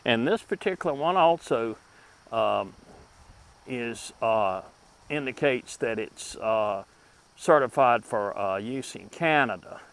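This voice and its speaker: A man hosting a casual, unscripted review of collectibles, speaking haltingly as he thinks aloud with pauses. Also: mid-range voice, animated, a bit bright. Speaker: host reviewing vintage radio collectibles. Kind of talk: casual unscripted product show-and-tell.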